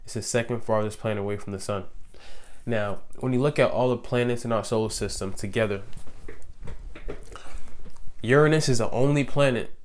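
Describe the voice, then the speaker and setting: A man's voice: mid-range voice, moderate pace, pauses often, slight background noise. A young man with a cold, sniffling, explaining astrology in loose, slangy casual talk with lots of fillers. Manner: laid-back, slangy and loose, many fillers. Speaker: astrology YouTuber.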